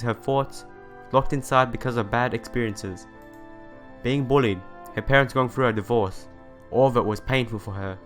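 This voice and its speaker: A man's voice: medium pitch, fairly bright tone. A young man playing a visual novel for viewers, reading aloud and commenting in an informal, earnest way. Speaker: let's player. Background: game music.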